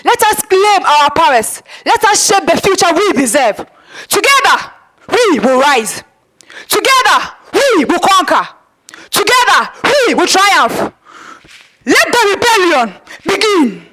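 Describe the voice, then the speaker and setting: A woman's voice: very high voice, sing-song, slow pace, pushed and bright, heavily acted, poor audio. A teenage girl playing a fiery rebel leader rallying fighters in a declamatory theatrical monologue. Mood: triumphant. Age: teenage student. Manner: declamatory, rousing.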